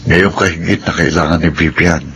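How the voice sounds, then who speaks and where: A man's voice: low-pitched, fairly even intonation, normal speed, background noise. An actor playing a supportive godfather and family friend in a Filipino radio drama, with a Filipino accent. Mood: consoling.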